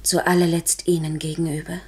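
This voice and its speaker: An actress in a 1970s German radio play playing a sorrowful, dignified courtesan who speaks formally and solemnly, sometimes near a whisper. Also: female voice, deep voice, little pitch movement, a little fast, noisy background, close to an everyday voice. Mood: sorrowful, resigned. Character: sorrowful, dignified courtesan confessing to her noble lover.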